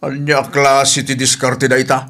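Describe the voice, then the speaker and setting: A man's voice: mid-range voice, completely flat delivery, moderate pace, pushed and bright. A man with an Ilocano Filipino accent playing a stern father scolding his wayward daughter in a radio drama. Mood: scolding, ashamed.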